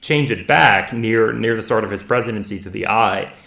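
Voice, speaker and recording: Low gravelly man, roomy room. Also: bright, forceful tone. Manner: measured, analytical, agreeable. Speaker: co-host. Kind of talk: casual panel discussion.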